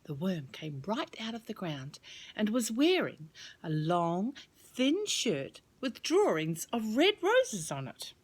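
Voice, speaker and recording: A woman reading a children's storybook aloud as storyteller, with expressive, measured delivery. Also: mid-range voice, slightly rough.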